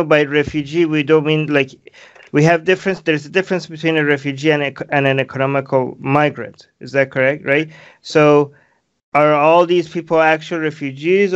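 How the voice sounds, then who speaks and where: A man's voice: on the high side, smooth, highs cut off. A man in his thirties interviewing a guest over a video call, asking probing, questioning follow-ups full of fillers. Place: small room, plain wall.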